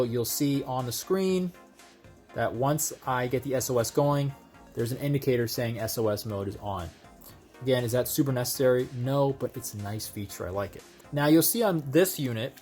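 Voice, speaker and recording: A man giving a casual, conversational hands-on product demonstration, explaining things step by step as a video host. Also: mid-range voice.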